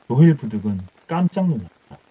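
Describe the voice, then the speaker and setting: A man's voice: medium pitch, very animated, fairly quick, breathy edge. A man reading an old folktale as scripted bedtime audiobook narration. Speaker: audiobook storyteller. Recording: dull muffled audio with music.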